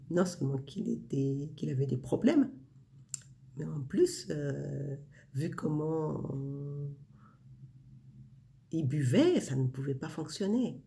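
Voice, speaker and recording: Slow, gravelly-voiced woman in her forties. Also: deep voice, dark tone. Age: 40s. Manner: intimate, addresses the listener directly, many fillers. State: winding down before bed. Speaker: vlogger sharing inspiration. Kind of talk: casual spiritual vlog.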